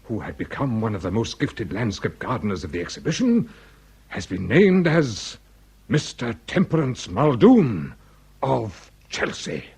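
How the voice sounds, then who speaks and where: An actor playing a courtly, fretful and florid Victorian lord with a husky voice in a British radio drama. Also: male voice, mid-range voice, sing-song, a little slow, darkish tone, full character voice. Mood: ominous, dramatic. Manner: florid, mannered, pompous.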